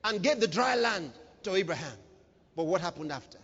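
A man's voice: high-pitched, lively intonation, fairly quick, fairly bright tone, breathy edge. A man preaching a dramatic, emphatic sermon to a congregation, reading scripture and driving phrases home through repetition. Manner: engages the congregation with questions and humour. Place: large reverberant church hall, preaching to a congregation. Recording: muffled, hall with long reverb.

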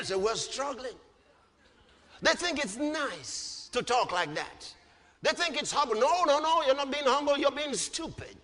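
A man's voice: very high voice, bright, forceful tone. A man preaching an impassioned sermon, emphatic and repetitive, punctuated with rhetorical questions. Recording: long hall reverb.

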